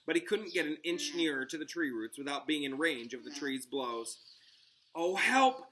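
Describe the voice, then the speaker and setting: A man narrates a children's story like a teacher in front of a class. His voice sits a little high and is bright and forceful. The delivery is dramatic and clearly aimed at young listeners. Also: fairly even intonation, brisk. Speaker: teacher reading aloud to a class. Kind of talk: expressive storybook read-aloud with asides.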